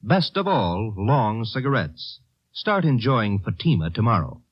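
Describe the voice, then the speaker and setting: A man's voice: on the low side, big pitch swings, a little slow, breathy edge, dry recording. A man reading an emphatic 1950s radio commercial as an announcer. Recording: dull old radio recording.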